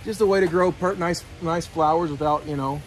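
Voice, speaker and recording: A man's voice: fairly high pitch, fairly even intonation, brisk, rough recording. A man, casually explaining his work as a farmer while giving a tour. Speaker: farmer showing the farm. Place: outdoors and in greenhouses on a farm. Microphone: phone camera a step away. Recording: outdoor phone recording with background noise.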